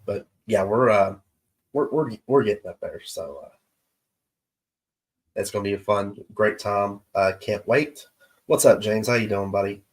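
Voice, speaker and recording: A man hosting his show's live stream, chatting casually with viewers in an easygoing, halting way, his voice stuffed up from allergies. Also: lowish voice. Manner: easygoing, halting with many pauses. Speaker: show host. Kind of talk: casual livestream chat with viewers.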